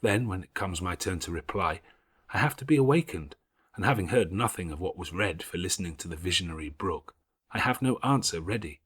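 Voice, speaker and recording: A male reader voices a bookish, devout older schoolgirl in an audiobook. The delivery is earnest and measured, gently moralising, with expressive rises and falls. The tone has a soft huskiness. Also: sits low, husky, dry recording, heavily acted. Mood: grave, self-reproachful. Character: patient, devout, bookish older schoolgirl, gravely self-critical. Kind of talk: audiobook character voice.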